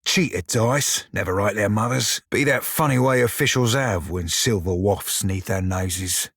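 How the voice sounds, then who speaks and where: Game role: roguish furry sailor, husky growl, bawdy and sardonic, mid pitch, close dry sound. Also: male voice, a little slow, fairly bright tone, no room sound, full character voice. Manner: salty, colloquial pirate talk, wry and crude. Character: roguish, bawdy, sardonic blue-furred pirate sailor creature. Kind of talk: video game character dialogue and barks.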